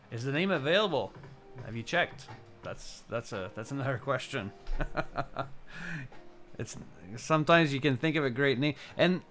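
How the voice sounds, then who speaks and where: Rambling middle-aged man streamer over music. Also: on the high side. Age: 40s. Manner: halting, rambling, repeats words, chuckles. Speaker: live streamer. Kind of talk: casual chat with stream viewers.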